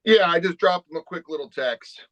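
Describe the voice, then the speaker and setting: An easygoing man chatting as a podcast guest, unhurried and relaxed. His voice is slightly high and comes through dull and dry, like a lo-fi remote feed. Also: no room sound. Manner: laid-back, easygoing. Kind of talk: casual conversation.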